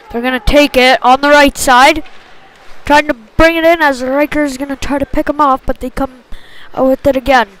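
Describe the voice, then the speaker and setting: A woman with a high voice gives live hockey play-by-play. She speaks at a slow pace, in short, clipped phrases that track the play as it moves. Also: poor audio. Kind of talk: live sports commentary.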